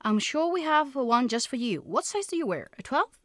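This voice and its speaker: An actress playing an eager, pushy, flattering shop assistant in a scripted language-test dialogue. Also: female voice, sits high, normal speed, no room sound, clean studio sound. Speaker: actor in listening test dialogue.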